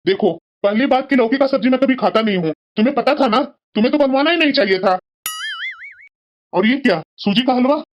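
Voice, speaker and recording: A woman's voice: sits high, expressive, brisk, lo-fi sound. A woman voicing an irritable, picky son-in-law in a cartoon. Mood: irritated. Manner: complaining, demanding.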